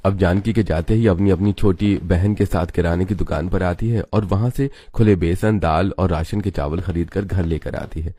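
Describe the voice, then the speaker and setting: A man's voice: low voice, fairly even intonation, normal speed, few pauses, warm tone, breathy edge. A man narrating a scripted cartoon story as its storyteller.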